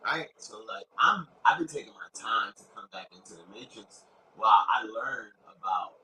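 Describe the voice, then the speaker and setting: A man in a casual, rambling monologue, talking in profane slang and filling it with "bro" and "right?". He jumps from loud, emphatic bursts to low whispered asides. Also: animated, moderate pace, rough recording.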